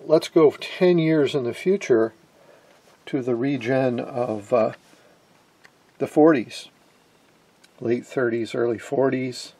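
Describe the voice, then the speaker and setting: Creaky-voiced man explaining as he goes. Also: medium pitch, dark tone. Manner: hesitant, explanatory, pausing mid-phrase. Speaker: amateur radio hobbyist and presenter. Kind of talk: informal hands-on demonstration of vintage gear.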